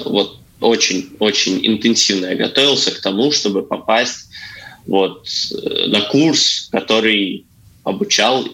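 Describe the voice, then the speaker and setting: A young man answering interview questions conversationally, often halting as he searches for words. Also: fairly low pitch, sharp tone, low-quality recording. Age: young adult. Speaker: guest, young theatre artist.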